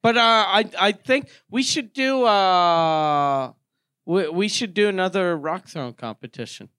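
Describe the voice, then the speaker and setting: A man's voice: sits high, expressive, unhurried, bright, forceful tone, clear, resonant tone, no echo. A man, co-hosting a comedy podcast with crude, teasing, casual talk.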